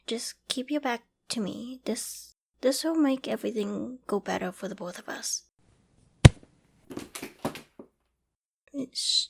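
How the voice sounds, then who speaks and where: Female actor for obsessive young woman survivor, zombie-apocalypse roleplay. Highish voice, emotional, stammering, intimate ASMR delivery. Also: gravelly, noisy background. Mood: nervous, soothing. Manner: emotional, halting and stammering, often dropping to whispers and sighs. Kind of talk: dramatic ASMR roleplay audio acting.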